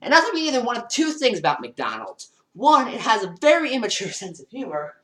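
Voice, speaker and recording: Teen boy, comic vlogger, bouncy high delivery, distant from mic. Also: wildly swooping pitch, a little fast, bright, forceful tone. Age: teens. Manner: rambling, jokey, acts out scenes while moving around. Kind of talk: comedic parody storytelling. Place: small basement room, indoors. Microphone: built-in webcam mic across the room. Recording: roomy and distant.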